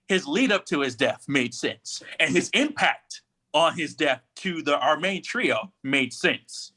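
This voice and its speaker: A man's voice: on the high side, animated, a little slow, sharp tone. A man speaking as a panelist in a casual fan discussion, explaining his points conversationally. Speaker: podcast panelist.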